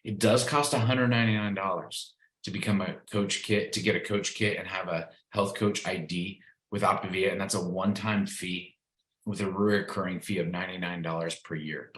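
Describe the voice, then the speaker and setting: A man giving an upbeat recruiting pitch on a video call, salesy and full of fillers. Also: sharp tone, roomy sound, low-quality recording.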